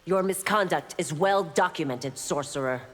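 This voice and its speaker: An actress playing a stern, resolute law officer and warrior in a video game, with a firm, formal manner. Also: female voice, low-pitched, normal speed. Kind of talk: video game heroine acting. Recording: reverberant arena with clear background noise.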